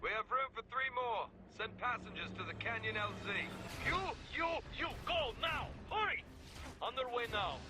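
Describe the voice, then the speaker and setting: An actor playing a brisk, cocky male air force pilot in a video game cutscene. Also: very high-pitched, expressive, background noise. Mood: urgent.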